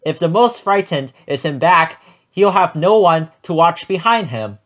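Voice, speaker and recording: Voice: mid-range voice, expressive, a little slow, fairly bright tone, voice pushed up. A narrator as a bold, bossy nanny goat. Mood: confident, explaining. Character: Jaina, bold bossy female leader goat.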